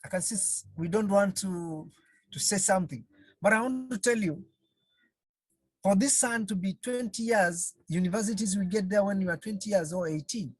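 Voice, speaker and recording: Counsellor on a webinar call, kinda husky, dry close sound, lots of rhetorical questions. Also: mid-range voice, no room sound, low-quality recording. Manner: asks the audience many rhetorical questions; repeats phrases, slow and halting. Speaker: counselling trainer. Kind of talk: online webinar talk. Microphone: video call.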